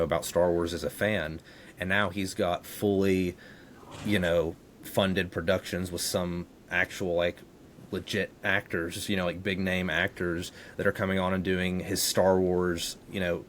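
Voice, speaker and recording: A man with a low voice and a very flat, even delivery chats as a podcast host. He rambles conversationally through his thoughts, with a faint rumbling noise behind him. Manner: rambling, conversational, frequent "you know". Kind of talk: casual chat.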